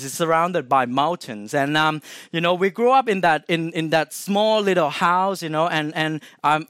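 A young Thai man with a Thai accent gives a personal testimony to a gathered audience. His voice sits a touch higher than most men's, and it is recorded dry and close with no room around it. He talks loosely, stopping often on "um" and restarting his phrases. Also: a bit bright, clear, resonant tone, dry recording. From Thailand (Chiang Mai). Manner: chatty and informal, many "um"s and restarts, asks the audience questions. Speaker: guest speaker sharing testimony.

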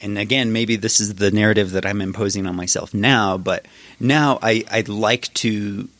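Low-voiced thoughtful man, dry sound. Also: fairly even intonation, normal speed, a bit bright, no echo. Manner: thoughtful, hesitant, many fillers and restarts. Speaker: podcast guest, musician. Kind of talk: casual interview chat.

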